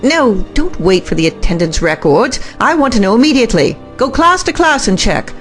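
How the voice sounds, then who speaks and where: A woman's voice: on the high side, big pitch swings, moderate pace, soft background music. A woman playing an imperious, impatient boss with a commanding, clipped manner in an audio drama. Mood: demanding.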